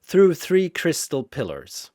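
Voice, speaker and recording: A man's voice: on the high side, huge pitch swings, slow, a little mellow, no echo, studio quality. A man giving theatrical, scripted narration of a fantasy battle story. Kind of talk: dramatic scripted fan-fiction battle narration.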